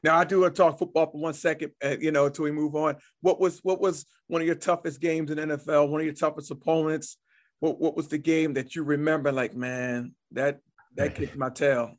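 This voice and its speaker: A man's voice: a little high, flat delivery, a little fast. A man hosting an interview, chatty and encouraging, often repeating words as he builds up his questions. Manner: chatty, many restarts and affirmations. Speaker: interview host. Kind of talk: casual interview talk.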